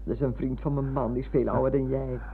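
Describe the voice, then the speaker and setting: An older actress playing a chatty, fussy, sentimental retired schoolmistress who rambles and interrupts herself in a radio play. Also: female voice, very deep voice, level tone, fast, breathy edge, heavily acted. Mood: puzzled. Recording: muffled phone-like old radio recording.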